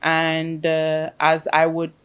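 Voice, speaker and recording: Woman, from the Caribbean, Spanish first language, lower voice, chatty with um pauses. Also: monotone, a bit bright, smooth, lo-fi sound. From Caribbean, grew up in Grenada. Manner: chatty storyteller, frequent "um" pauses. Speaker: guest, fintech project manager. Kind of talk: casual interview chat.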